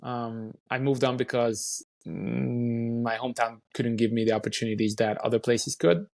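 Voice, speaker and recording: Gravelly Hungarian-accented man in his thirties. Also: medium pitch, level tone, darkish tone. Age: 30s. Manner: halting, many restarts and ums. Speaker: youth soccer academy director. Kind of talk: casual interview chat.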